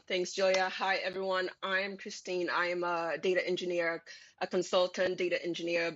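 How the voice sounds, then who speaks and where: A woman speaking as a panelist on a video call, halting and laughing often. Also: lowish voice, no room sound. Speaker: panelist, data engineer. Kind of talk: casual panel talk. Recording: dull dry video call audio.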